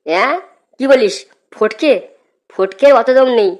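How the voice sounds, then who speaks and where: A man's voice: very high voice, a little slow, highs cut off, a little hiss or hum. A man voicing a cheeky, broke young married son in a comic cartoon, whiny and protesting.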